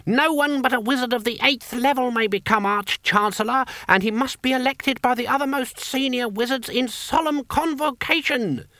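A man's voice: high-pitched, animated, moderate pace, pushed and bright, no room sound, voice pushed up. A man voicing a fragile, indignant elderly wizard lecturer in a storybook, with clipped, pedantic, over-precise enunciation.

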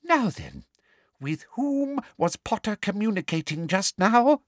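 Falsely motherly and cruel, a sharp-tongued middle-aged official, as a man reads her in a high, prim voice that swoops up and down. Every word lands close and dry, with no room around it. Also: very animated, a little slow, no echo, heavily acted.

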